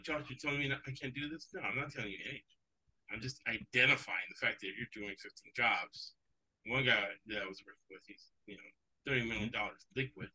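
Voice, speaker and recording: A man's voice: medium pitch, sharp tone, breathy edge, low-quality recording. A man, English his second language, hosting a sports betting podcast in casual, rambling chat. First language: not English. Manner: rambling, digressive, chuckles often.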